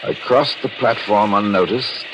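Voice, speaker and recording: A man's voice: lowish voice, measured pace, background noise, natural voice. An actor playing a bitter, scheming husband who narrates his revenge in brooding, dramatic 1940s radio thriller style. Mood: tense, calculating. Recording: old radio recording with music behind.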